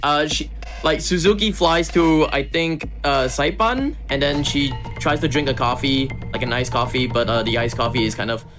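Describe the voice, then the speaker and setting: A man's voice: mid-range voice, moderate pace, a bit bright. A man giving chatty, giggly live commentary on a speedrun, with lots of fillers. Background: game music.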